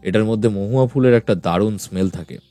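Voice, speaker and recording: A man playing a businesslike senior police officer in an audio story, deliberate and matter-of-fact. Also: on the low side, mellow, no echo, faint music behind, close to an everyday voice. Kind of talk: audio story dialogue acting.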